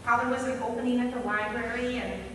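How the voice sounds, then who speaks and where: A woman in an amateur stage play playing a wistful, regretful middle-aged aunt, speaking haltingly and earnestly. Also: on the high side, fairly even intonation, close to an everyday voice. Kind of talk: amateur one-act stage play acting. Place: stage in a hall, indoors. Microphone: distant audience camera. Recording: echoey hall from far off, noisy.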